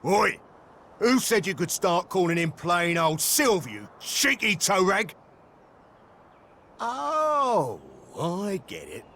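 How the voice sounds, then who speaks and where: A male actor with a British accent playing a rough-and-ready gang boss in a video game, blunt, slangy and gruffly fond. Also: fairly high pitch, fairly bright tone, a little hiss or hum, full character voice. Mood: indignant, then conceding. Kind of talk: video game cutscene voice acting.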